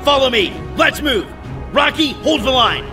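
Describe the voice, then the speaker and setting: An actor in a superhero audio drama playing a resolute, clever, defiant former hero, over loud music. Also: male voice, very high-pitched, animated, measured pace. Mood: urgent.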